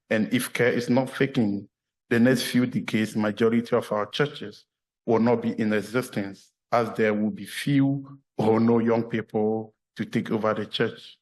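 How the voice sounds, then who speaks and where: A man from Ghana with a Ghanaian accent, a clergyman giving a measured, earnest formal address at a church meeting. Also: medium pitch, low-quality recording.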